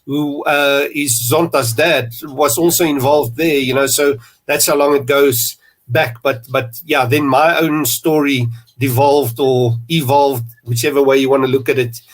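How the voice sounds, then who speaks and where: A man's voice: medium pitch, fairly even intonation, a little slow, poor audio. A man with a South African accent hosting a podcast interview, rambling and restarting with plenty of fillers. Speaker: podcast host. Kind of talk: conversational interview.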